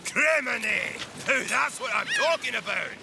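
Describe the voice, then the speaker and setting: A man's voice: extremely high pitch, lively intonation, unhurried, vocal fry, full character voice. A man playing a greedy, boisterous pirate crewman who exclaims in old-timey sailor slang in an audio drama. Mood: greedy glee. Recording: noisy sound-effect bed behind voice.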